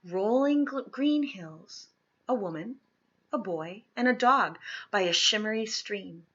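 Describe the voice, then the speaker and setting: Bright-voiced, husky woman reading a storybook. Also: medium pitch, animated, slow, highs cut off. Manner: dramatic, with emphatic swells and hushed drops. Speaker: storybook reader. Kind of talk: expressive read-aloud of children's historical fiction.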